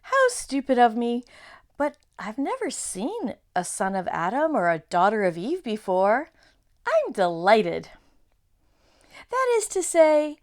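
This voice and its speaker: An excitable, courteous faun fusses over his words and bows with old-fashioned politeness, as a woman puts him on for a storybook reading. The voice sits high, swoops up and down, and comes out loud and eager. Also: takes it slowly, heavily acted. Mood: flustered. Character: polite, fussy, nervous male faun.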